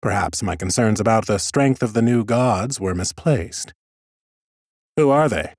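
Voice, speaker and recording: A man's voice: fairly low pitch, normal speed, darkish tone, slightly rough, dry recording. A man narrating scripted first-person fantasy audiobook prose with wry understatement, close on a studio mic. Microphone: close studio microphone.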